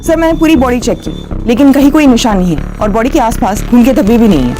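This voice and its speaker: A woman's voice: fairly high pitch, expressive, fast, lightly acted. A woman playing a businesslike police officer in a TV crime serial, reporting in a respectful, factual way. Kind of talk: Hindi TV crime serial acting. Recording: loud dramatic music under dialogue.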